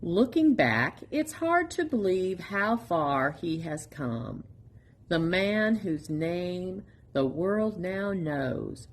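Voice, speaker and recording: Slow, expressive woman reading a storybook. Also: lowish voice. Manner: expressive, deliberate storytelling with pauses. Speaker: storytime reader. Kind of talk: read-aloud of a children's picture book.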